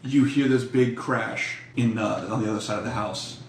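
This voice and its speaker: A man's voice: mid-range voice, steady tone, normal speed. A man running an improvised tabletop game as game master, narrating in a casual, conversational way. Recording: echoey room, distant mic, faint noise.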